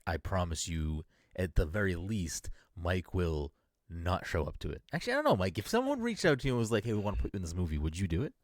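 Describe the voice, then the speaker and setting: A very deep male voice that rattles along quickly and swings widely in pitch as he teases a friend on a podcast. He stumbles into restarts while pitching one hypothetical after another, and the sound is close and dry. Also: big pitch swings, dry recording. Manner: teasing, rapid hypotheticals with repeated restarts. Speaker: podcast co-host. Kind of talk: casual chat.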